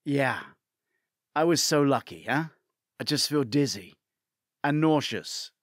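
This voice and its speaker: A man's voice: medium pitch, expressive, slow, dry recording, clean studio sound. A man voicing an injured, sardonic male investigator in an audiobook, terse and questioning. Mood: wry. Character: injured groggy male investigator, blunt, sardonic, demanding answers.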